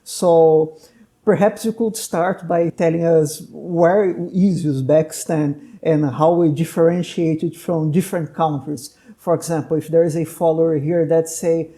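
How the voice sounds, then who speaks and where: Mellow-voiced male host. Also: on the high side, steady tone, measured pace. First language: Portuguese. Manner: chatty and articulate, with long explanations. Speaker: podcast host, medical doctor. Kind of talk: casual interview conversation.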